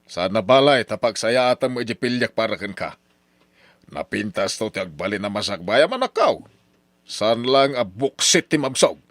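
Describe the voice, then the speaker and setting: Radio drama father, middle-aged poor farmer, Ilocano accent, low voice, bossy, grumbling schemer. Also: big pitch swings, normal speed, fairly bright tone, no echo. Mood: insistent, scheming. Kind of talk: old radio drama acting.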